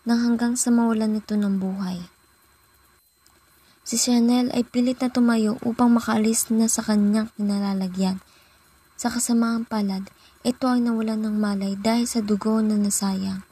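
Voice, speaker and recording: A woman's voice: mid-range voice, warm tone, husky. A young woman giving scripted narration as the storyteller of a student radio drama. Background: background music.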